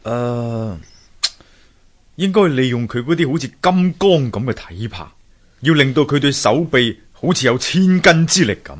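An actor playing an earnest, thoughtful sculptor husband in a Hong Kong Cantonese radio drama, musing aloud theatrically. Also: male voice, medium pitch, expressive, a little slow. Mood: eager, thoughtful.

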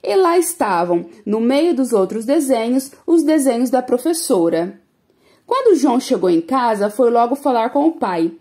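Woman reader, children's picture book, lively expressive, warm tone. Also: a little high, moderate pace. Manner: gentle, expressive storytelling.

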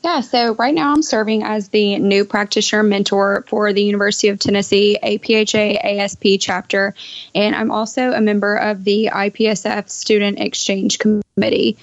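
A woman in her thirties explains things as an interview guest, keeping her intonation very even. The sound is dry and a little lo-fi, as from an internet call. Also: medium pitch, normal speed, no echo. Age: 30s. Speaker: podcast guest, pharmacist. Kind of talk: conversational interview answers. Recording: slightly dull online-call audio.

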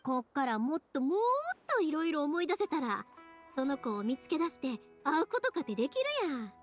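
A woman voicing a warm, upbeat young big-sister figure in an anime game, reassuring and earnest, with a Kansai accent. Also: high voice, lively intonation, a little fast. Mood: encouraging. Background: music.

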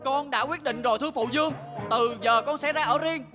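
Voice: sits high, fairly quick, over music, lightly acted. An actor playing an earnest, kindly young boy prince in a Vietnamese cartoon dub, pleading and sincere. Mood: resolute.

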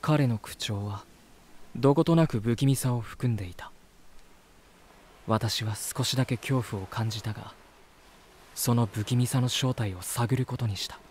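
A man's voice: mid-range voice, normal speed, pauses often, a little mellow, slight background noise, natural voice. An actor playing a polite, curious male visitor in an anime-style voice drama, both talking and narrating earnestly. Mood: reflective.

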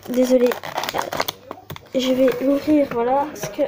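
Jeune fille qui parle lentement en ouvrant des jouets, plein de euh, voix haute. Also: female voice, high voice, little pitch movement, measured pace, very noisy. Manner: hesitant, many fillers and apologies. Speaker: vlogger. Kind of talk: casual toy unboxing chat. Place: desk at home, indoors.

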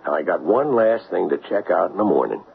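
Wry, dogged former police sergeant, very animated and creaky, sardonic 1970s radio drama delivery. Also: male voice, low voice, a little fast. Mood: brisk. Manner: sardonic, probing. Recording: dull lo-fi 1970s radio broadcast, hissy.

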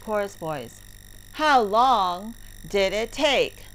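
A woman narrating a story lesson for English learners, reading deliberately word by word. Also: mid-range voice, animated. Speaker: teacher narrator. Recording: heavy background noise, clicks.

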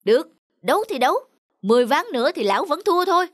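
An actor playing a proud, hot-tempered middle-aged court official in an animated folk tale, boastful and scornful. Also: male voice, extremely high pitch, quick pace, no echo, exaggerated voice. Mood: angry. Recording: music under the dialogue.

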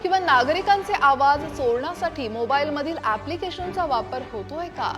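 A woman's voice: sits high, expressive, moderate pace. A woman reading a scripted news explainer as a presenter. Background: background music.

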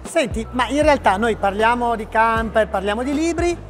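A middle-aged man hosts a relaxed studio chat, steering his guest with friendly prompts. Music plays clearly under his voice throughout. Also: very high voice, normal speed. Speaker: host. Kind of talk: casual interview. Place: studio with printed backdrop.

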